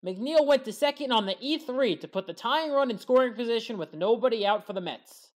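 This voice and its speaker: Woman, high-pitched voice, sports highlight commentator, scripted play-by-play recap narration. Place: voice-over recorded apart from the game.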